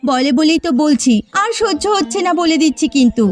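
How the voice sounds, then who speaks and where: A woman's voice: high voice, expressive, normal speed. A woman voicing a proud, scheming, quarrelsome village wife in a cartoon, with a scolding, boastful manner. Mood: exasperated. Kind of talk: animated cartoon dialogue. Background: background music.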